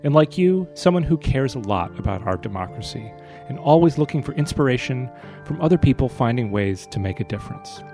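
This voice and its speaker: An American man with a slightly husky voice, speaking as the host of an interview show. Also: mid-range voice, animated, brisk, dark tone, faint music behind. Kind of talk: scripted show introduction and interviewing.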